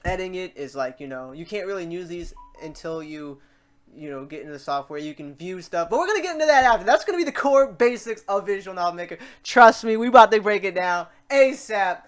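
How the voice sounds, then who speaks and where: A man hosting a casual software tutorial, talking off the cuff in an informal, chatty, rambling way and often repeating himself. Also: high voice, expressive, fairly quick, clean tone. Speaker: host of a software tutorial series. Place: voice-over to a screen recording.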